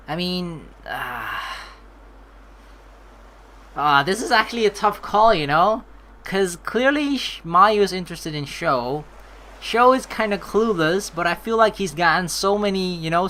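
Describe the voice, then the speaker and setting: A woman's voice: lowish voice, a bit bright. A woman doing chatty let's play commentary, reading game lines aloud expressively. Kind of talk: casual gameplay commentary and script reading.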